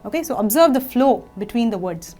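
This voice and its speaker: High, mellow-voiced woman teaching singing. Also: expressive, quick pace. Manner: gentle, patient explanation with sung examples. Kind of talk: singing lesson, explaining and demonstrating phrases.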